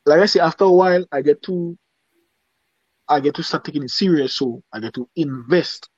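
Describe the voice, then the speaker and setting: A man's voice: on the high side, fairly quick, a little mellow, dry recording, muffled recording. A man from Dominica with a Dominican accent, a singer talking casually in an interview and often checking "you understand?". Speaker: singer guest.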